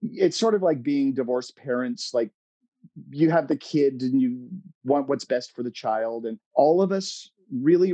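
A middle-aged American man chatting casually as an interview guest, telling anecdotes in a halting, expressive, self-amused way. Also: medium pitch, no room sound, muffled recording. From Oregon, United States. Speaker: interview guest, playwright and screenwriter.

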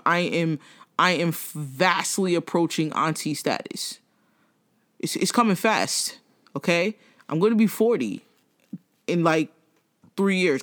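A woman in her thirties co-hosting a casual gossip chat, blunt and profane, launching into animated rants. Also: low-pitched. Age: 30s. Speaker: podcast co-host.

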